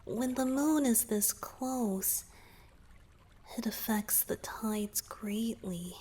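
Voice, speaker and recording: Woman voicing a soft, very slow-talking shy merman. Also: fairly high pitch, exaggerated voice. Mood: shy, explaining. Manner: hesitant, soft-spoken, often trailing into breathy whispers. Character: gentle young merman boyfriend, shy and evasive. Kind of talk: romantic ASMR roleplay.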